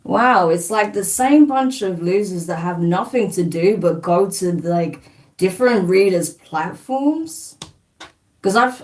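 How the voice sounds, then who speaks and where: A woman's voice: mid-range voice, distant mic. A woman with a slight New Zealand twang hosting a live card-reading stream, chatting casually and rambling as she reads viewer comments and bluntly dismisses trolls.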